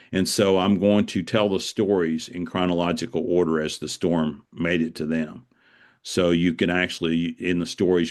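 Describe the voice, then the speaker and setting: Deep-voiced man explaining in an interview. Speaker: author being interviewed.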